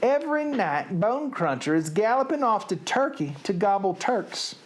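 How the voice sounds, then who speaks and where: A man voicing a big, boisterous storybook giant with a Southern US accent, booming out broken grammar. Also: sing-song, moderate pace. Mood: boisterous, boastful. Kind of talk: storybook character reading.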